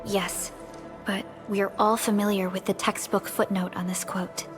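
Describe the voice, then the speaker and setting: A woman voicing a calm, helpful second-in-command in scripted video game dialogue. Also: medium pitch, unhurried, close to an everyday voice. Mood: composed, attentive. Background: game music.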